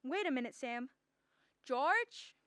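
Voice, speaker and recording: A woman's voice: sits high, lively intonation, slow pace, a bit bright, no echo, studio quality, full character voice. A young woman playing a teasing, self-assured sweetheart in a school radio drama, wry and pointed. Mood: pointed, brisk. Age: young adult.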